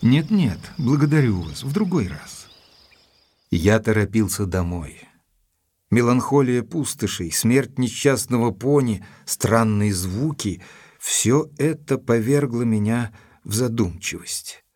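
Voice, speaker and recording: An actor playing an earnest, gentlemanly doctor in an audio drama, speaking in a courteous, measured way. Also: male voice, mid-range voice, animated, slightly rough. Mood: polite, then pensive narration. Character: earnest gentlemanly doctor, loyal and cautious, narrating. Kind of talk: audio drama narration and dialogue.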